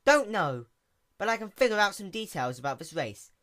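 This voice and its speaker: A woman's voice: mid-range voice, big pitch swings, moderate pace, a bit bright, voice pushed up. A woman voicing a nerdy young female sea pony mechanic who explains things plainly in a story reading. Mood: explaining, incredulous.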